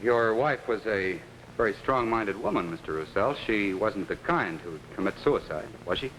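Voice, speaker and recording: Courteous detective, 1950s TV mystery: low voice, hesitant questioning, noisy old soundtrack. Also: male voice, expressive, a bit bright. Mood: grave, courteous. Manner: hesitant, questioning phrasing with small pauses. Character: Ellery Queen, probing, courteous male detective. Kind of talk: 1950s television mystery acting.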